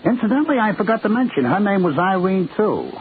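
An actor with an American accent playing a slick, pushy small-time swindler in old-time radio drama. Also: male voice, high-pitched, sing-song, quick pace. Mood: scheming. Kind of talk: 1950s radio drama acting. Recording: tinny, hissy old radio recording.

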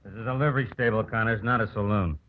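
A man plays a surly small-town stableman with rough country grammar. He talks quickly in a low pitch. It comes through as a narrow, old radio play broadcast. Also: a little hiss or hum, close to an everyday voice. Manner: gruff, folksy rural grammar. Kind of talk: 1950s radio drama acting.

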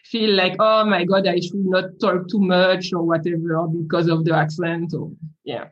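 A woman with a French Caribbean accent speaking English as a second language, with French as her first. She talks in a halting, laughing way, full of small fillers, and her audio comes through a little muffled. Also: on the low side, little pitch movement. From Caribbean island. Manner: laughs often, hesitant with many uh fillers. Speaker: guest scientist. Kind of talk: casual interview conversation.

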